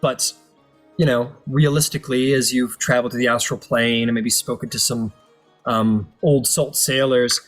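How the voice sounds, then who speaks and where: A man's voice: medium pitch, fairly even intonation, moderate pace. A man improvising narration as the game master of a tabletop role-playing game. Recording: music playing under the voice. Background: background music.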